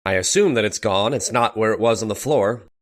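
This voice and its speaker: A man's voice: fairly low pitch, fairly quick, close to an everyday voice. A man playing a controlled, insistent doctor in an old-time radio style horror drama, speaking pointedly and with urgency. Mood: coolly accusing. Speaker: actor in an audio drama.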